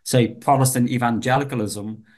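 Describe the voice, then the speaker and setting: A man's voice: medium pitch, steady tone, moderate pace, darkish tone. A man in his fifties with a Dublin Irish accent, a professor talking earnestly as a podcast guest and often repeating words as he starts a sentence. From Ireland. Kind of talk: podcast interview conversation.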